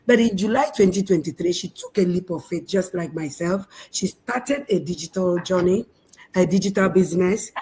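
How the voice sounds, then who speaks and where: A woman's voice: lowish voice, normal speed, mellow. A woman co-hosting a casual livestream, introducing a guest in an informal way.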